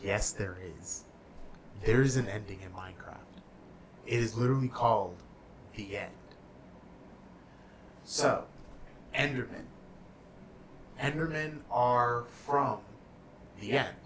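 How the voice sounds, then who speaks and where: Slow-talking young man explaining games. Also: mid-range voice, slight background noise. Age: young adult. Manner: slow, thoughtful explainer with trailing fillers. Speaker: podcast co-host. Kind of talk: casual chat about games and movies.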